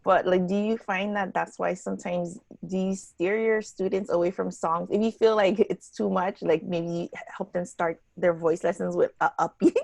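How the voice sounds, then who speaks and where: A woman hosting a podcast interview, chatting casually with a raspy voice and an animated, halting way of talking. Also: on the low side, no room sound. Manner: animated, halting, restarts phrases. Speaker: podcast host.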